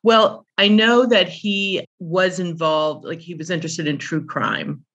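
A woman's voice: on the low side, measured pace, fairly bright tone, lo-fi sound. A middle-aged or older woman speaking as an interview guest, reminiscing in an anecdotal, conversational way. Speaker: interview guest, author and publisher.

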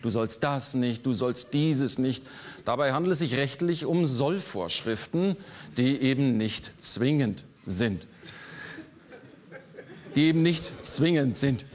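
A man in his forties performing a political cabaret monologue on a theater stage, sardonic, landing dry punchlines with sudden jumps in pitch. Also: slow. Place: large theater stage before a live audience.

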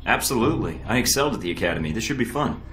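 A man's voice: lowish voice, lively intonation, very fast, a bit bright, reverberant, faint music behind. An actor playing a cocky young male spy recruit in a video game, casual and flippant. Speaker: recruit agent. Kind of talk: video game cutscene acting.

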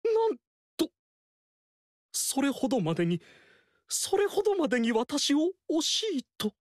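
An actor voicing a flamboyant, fawning court strategist in a video game, speaking in a theatrical, courtly way and repeating phrases for emphasis. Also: male voice, very high-pitched, wildly swooping pitch, a little slow, no echo, clean studio sound, full character voice. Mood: courteous. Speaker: game character.

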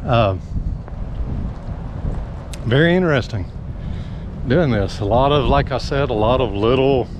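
A middle-aged man, talking casually and haltingly as he walks outdoors with a handheld camera. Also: mid-range voice. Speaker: lawn care worker vlogging. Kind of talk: casual rambling walk-and-talk vlog. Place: outdoors in a hotel parking lot and slopes. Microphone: action camera held at arm's length. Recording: noisy outdoor recording with traffic.

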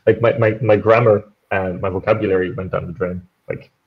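A man's voice: low voice, fairly even intonation, a little fast. A young man who speaks English as a second language, with a German accent touched by a slight Irish lilt. He chats casually on a video call from home and fills his sentences with "um" and "like". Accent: German, with a slight Irish tinge. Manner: rambling, full of fillers like "um" and "like". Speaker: meetup participant. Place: bright room at home with shelves, indoors. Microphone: headphones, laptop on a video call. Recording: dull lo-fi call audio.

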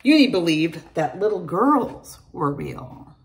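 A middle-aged woman reading a children's picture book aloud to her class, in an animated storytelling manner, recorded on a close phone camera in a large room at home. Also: on the low side, slow pace. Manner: animated storytelling, addresses children by name, gravelly edge. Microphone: phone or laptop camera at close range.

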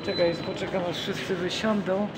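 A woman's voice: lowish voice, level tone, normal speed, very noisy. An older woman chatting casually while recording on a phone inside a lift. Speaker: vlogger's grandmother. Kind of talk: casual chat. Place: small indoor lift. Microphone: handheld phone.

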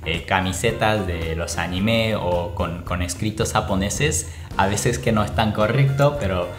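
A young man from Japan with a Japanese accent, speaking non-native Spanish in a casual, expressive vlog style to camera. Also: sits low, measured pace. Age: young adult. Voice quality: non-native Spanish with small grammar slips. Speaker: vlogger. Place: small plain indoor room. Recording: roomy echo. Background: music.

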